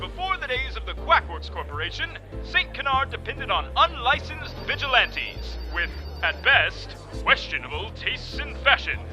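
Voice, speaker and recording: A man plays a slick cartoon newscaster with a very high, piercingly bright voice. He delivers the report in polished announcer style, with lively swings of pitch. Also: moderate pace, soft background music, full character voice, rough recording. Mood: smug, upbeat. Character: slick male cartoon TV news anchor. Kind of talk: news report parody.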